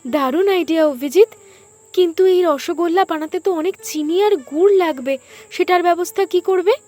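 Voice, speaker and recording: A woman playing a curious and supportive young wife in a village cartoon. Her voice is extremely high, with music underneath. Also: fairly quick, darkish tone. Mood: admiring. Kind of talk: animated story dialogue.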